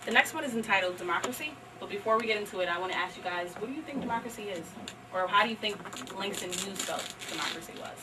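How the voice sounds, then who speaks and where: A woman's voice: mid-range voice, quick pace, background noise. A woman presenting and reading poetry to a small audience at a live library event. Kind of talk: dramatic poetry recital and informal audience discussion. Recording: distant live room recording.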